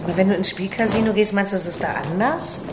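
A woman's voice: medium pitch, fast, lightly acted. An actress playing a cocky woman who argues insistently in colloquial radio drama dialogue. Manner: insistent, argumentative. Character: pushy, cocky woman gambler demanding her winnings. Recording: echoey, noisy room.

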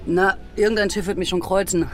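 A woman's voice: medium pitch, fairly even intonation, fairly quick, a little hiss or hum, lightly acted. A woman playing a guarded young captive soldier who passes as male in a radio drama, terse, suspicious and sardonic. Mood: threatening. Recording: music behind the voice. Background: music.

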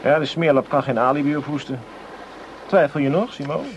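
A man's voice: on the high side, a little fast, lightly acted. An actor playing a tough, jealous criminal henchman in a radio play, speaking in a blunt, sardonic way. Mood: hard, callous. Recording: dull, faintly noisy radio recording.

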